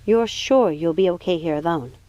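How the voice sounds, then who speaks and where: A woman's voice: on the low side, moderate pace, warm tone, no echo, close to an everyday voice, rough recording. A woman voicing a worried, protective young witch in an audiobook, speaking earnestly and with care. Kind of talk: audiobook character voice.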